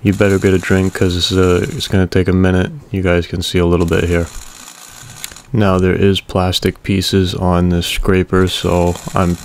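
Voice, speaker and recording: A man casually narrating a welding repair video in a relaxed, matter-of-fact way. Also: sits low, normal speed, slight background noise.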